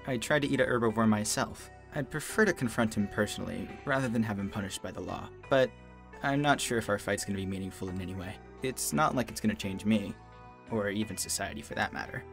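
An earnest teenage wolf acted by a man in a manga dub. He speaks in a low, subdued, brooding voice over faint music. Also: fast talker, vocal fry. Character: earnest, brooding seventeen-year-old male wolf student.

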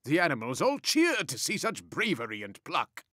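A man's voice: a little high, huge pitch swings, moderate pace, pushed and bright, no echo, clean studio sound. A man narrating a rhyming story in a dramatic, scripted storyteller's delivery.

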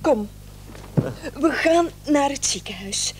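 Radio play: worried, supportive wife, fairly high voice, gently urging, faint noise on old recording. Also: female voice, animated, takes it slowly. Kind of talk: radio drama acting.

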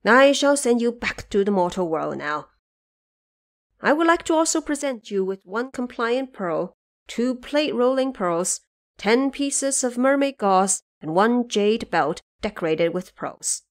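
A woman's voice: a little high, animated, unhurried. A woman playing a courteous, generous male Dragon King in an audio drama, stately and formal. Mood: gracious celebration.

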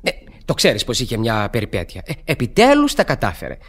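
Casting: young male student, glib sweet-talker, hugely animated delivery, old radio play. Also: medium pitch, heavily acted. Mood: coaxing. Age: young adult. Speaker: actor. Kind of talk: 1960s radio theatre acting.

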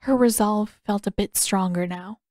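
A woman's voice: mid-range voice, normal speed, a little mellow, no echo, clean studio sound. A woman reading a story aloud as a scripted audiobook narration. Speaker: fan fiction author and narrator.

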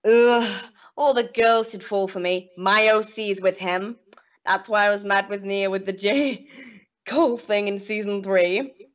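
A young woman asks questions as an eager fan on a video call, her voice at an ordinary pitch. The webcam mic makes her sound dull and lo-fi. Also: fairly even intonation. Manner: eager fan, quick back-channel murmurs. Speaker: interviewer. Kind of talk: casual video-call interview. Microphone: computer webcam mic.

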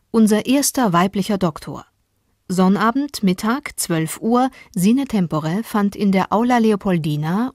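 An actress in a radio play reading period documents as an earnest woman, measured and formal. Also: medium pitch, a little mellow, dry recording, clean studio sound, close to an everyday voice.

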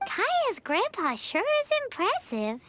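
A woman voicing a tiny, excitable, childlike fairy companion in a video game, bubbly and full of exclamations. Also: extremely high pitch, slow pace, noisy background, heavily acted. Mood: excited. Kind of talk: video game character acting. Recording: loud game music behind. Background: game music.

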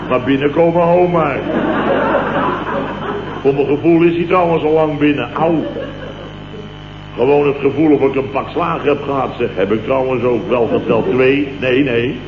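An old, hissy and muffled radio comedy recording with an echoey room. A man acts out a sleep-starved Dutch company boss at a middling pitch. He rambles and repeats himself, blustering and full of self-pity. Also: close to an everyday voice. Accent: Dutch, from the Netherlands. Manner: rambling, repetitive, exclamatory grumbling. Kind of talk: late 1960s comic radio play acting. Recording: dull, noisy, roomy old radio recording.

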